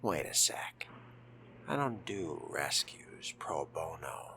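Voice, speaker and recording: A man role-playing a vampire in a game in a hushed, wry, theatrical murmur with a gravelly, whispery grain. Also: very deep voice, very animated, takes it slowly. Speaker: player voicing a vampire in a game. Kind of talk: hushed in-character role-play. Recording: noticeable background noise and game ambience.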